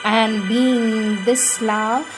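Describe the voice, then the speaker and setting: A woman reading devotional prayers aloud in a measured, reverent and prayerful manner, as for an audiobook. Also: on the high side, steady tone, music in the background, rough recording.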